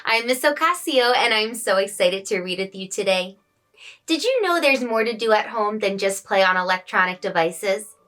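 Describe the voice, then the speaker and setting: A woman's voice: mid-range voice, normal speed. A woman hosting a story time, speaking expressively and emphatically to young children. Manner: animated, emphatic, addressing children. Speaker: host reading children's stories.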